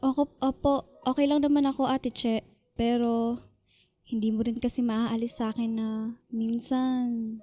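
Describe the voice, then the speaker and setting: A woman's voice: fairly high pitch, little pitch movement, a little slow, long pauses, warm tone, close to an everyday voice. A woman playing a polite, resigned young student daughter in a radio drama, speaking in a subdued way. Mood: subdued, disappointed.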